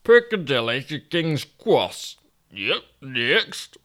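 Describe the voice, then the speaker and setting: A man's voice: mid-range voice, very animated, takes it slowly, fairly bright tone, pitched above the performer's own voice. A man voicing a foul-mouthed, grumbling Yorkshire man of forty with a Yorkshire accent. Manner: cursing, grumbling, exasperated.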